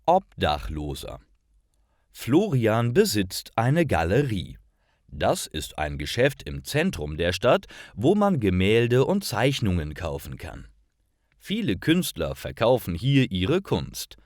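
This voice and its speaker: A man reading simple stories aloud in a clear, careful narration for language learners. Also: fairly low pitch, huge pitch swings, takes it slowly, darkish tone, no room sound, studio quality. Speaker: storyteller for German learners. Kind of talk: scripted slow narration.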